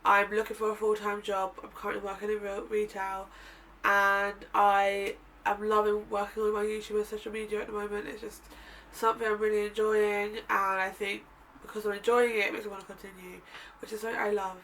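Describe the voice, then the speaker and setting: A woman's voice: mid-range voice, completely flat delivery, a little fast, a bit bright, slight background noise. A woman in her twenties, nasal and bunged up, chatting and rambling in a casual sit-down vlog. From United Kingdom. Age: 20s. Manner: rambling, chatty, self-correcting. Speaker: vlogger. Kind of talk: casual sit-down chat to camera. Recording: distant mic with faint room ambience.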